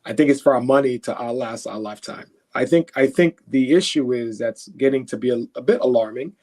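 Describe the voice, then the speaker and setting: An earnest man from Haiti answers interview questions at a mid pitch. He talks conversationally, restarts and repeats words while he thinks, and keeps checking in with the listener. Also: brisk, a little mellow. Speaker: guest.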